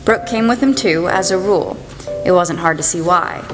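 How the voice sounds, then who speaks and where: A young woman playing a gentle, wistful mother who narrates a radio play about her daughters. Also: on the low side, moderate pace, lightly acted. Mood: wistful, fond. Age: teenager or young adult. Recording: echoey hall. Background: loud music.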